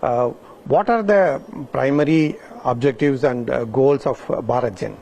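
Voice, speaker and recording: A man's voice: medium pitch, measured pace, vocal fry, a little mellow, a little hiss or hum. A man interviewing a guest at an event, asking questions with many hesitant fillers. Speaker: reporter interviewer. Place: busy summit venue.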